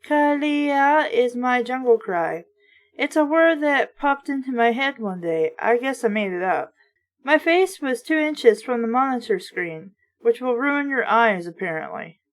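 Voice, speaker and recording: A woman's voice: a little high, animated, measured pace. A woman hosting an informal read-aloud of books, with casual, snarky asides along the way. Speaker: host reading books aloud.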